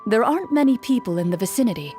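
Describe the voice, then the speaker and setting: A woman playing a game master who narrates a fantasy adventure in a measured, theatrical storytelling manner. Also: on the high side, sing-song, mellow, soft background music. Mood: calm, mysterious.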